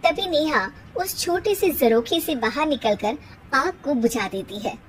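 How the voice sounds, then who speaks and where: High-pitched woman narrating a story. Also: animated, measured pace, slight background noise. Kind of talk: scripted cartoon story narration in Hindi.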